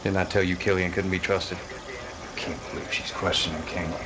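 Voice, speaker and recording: A man's voice: deep voice, flat delivery, normal speed, noisy background, natural voice. An actor playing a cocky brash male soldier who talks in a casual, boastful way.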